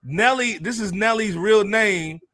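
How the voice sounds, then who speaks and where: A man's voice: high-pitched, unhurried, pushed and bright, no echo, studio quality. A man with an American accent hosting a podcast in casual, slangy banter. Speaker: podcast host.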